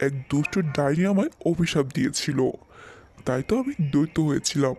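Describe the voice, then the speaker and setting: A man's voice: fairly high pitch, lively intonation, fairly quick, a little mellow. A man voicing an ardent, courtly young prince from a fairy tale cartoon, speaking in a formal, declamatory way. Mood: ardent, explaining.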